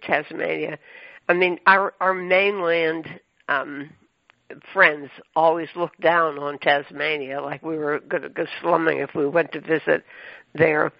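A man's voice: a little high, flat delivery, a little slow, creaky, dry recording. A man hosting a radio interview, talking in a rambling, effusive way with many fillers and restarts. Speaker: radio show host. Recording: dull lo-fi radio sound, dry.

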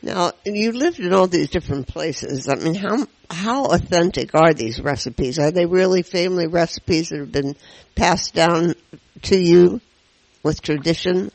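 Man, radio host, gravelly, fairly high voice, conversational, halting. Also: no echo, rough recording. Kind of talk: radio interview.

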